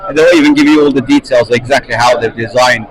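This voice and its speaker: A chatty male interviewer with a middle-pitched voice asks questions outdoors. He often stumbles and repeats words in his eagerness to keep the talk going. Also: fairly even intonation, fast, a crowd in the background, low-quality recording. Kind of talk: casual conference interview.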